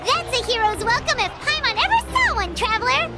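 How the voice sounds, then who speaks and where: A woman voicing a tiny, cheerful, childlike fairy companion in an animated video game style, excitable and exclaiming. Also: very high-pitched, fairly quick, over music, heavily acted. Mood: excited.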